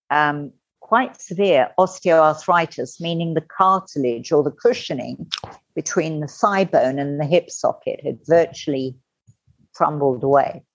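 A middle-aged woman telling her personal story as a podcast guest, candid and animated, acting out the voices she quotes. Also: deep voice, dry recording. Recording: dull lo-fi dry sound.

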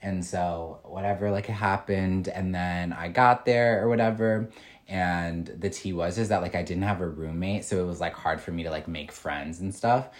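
A man's voice: deep voice, fairly even intonation, normal speed. A young man co-hosting a podcast, telling stories in a rambling way full of "like" and "um". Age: young adult. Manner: rambling, filler-heavy storytelling. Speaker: podcast co-host. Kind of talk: casual chat.

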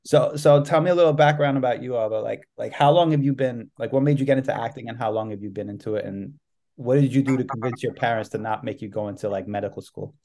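A man's voice: medium pitch, fast talker, mellow. A man from New York City hosting a podcast interview, talking loosely and rambling with lots of "like". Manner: loose and rambling, heavy use of "like". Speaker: podcast host. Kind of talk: casual interview chat.

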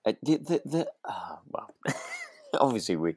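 A man's voice: mid-range voice, big pitch swings, unhurried, a little mellow, breathy edge, no room sound, clean studio sound. A British man chatting as a podcast co-host, hesitant and often restarting his sentences. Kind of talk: relaxed chat discussing a radio drama.

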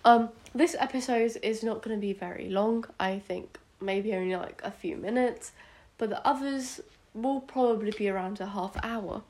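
A teenage girl with a British accent and a medium-pitched voice chats casually as a podcast host. She sounds a bit sick and sniffly. Her delivery is hesitant, rambling and self-conscious. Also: normal speed, darkish tone. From United Kingdom, born in India. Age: teenager, about 14 to 15. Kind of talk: casual solo podcast chat.

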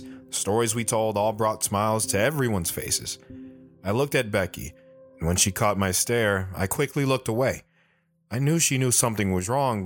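A man's voice: on the low side, natural voice. A man playing an earnest, guilt-ridden soldier, narrating his hard life in a sober, plain-spoken way for an audio drama. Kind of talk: dramatic first-person narration.

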